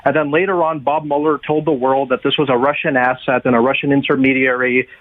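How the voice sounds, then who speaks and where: A man's voice: medium pitch, level tone, quick pace, no echo. A male guest speaks urgently and insistently, driving each point home. His mid-range voice is dry and thin, squeezed through a tinny phone line. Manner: urgent, insistent, repeats "okay?". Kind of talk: interview talk.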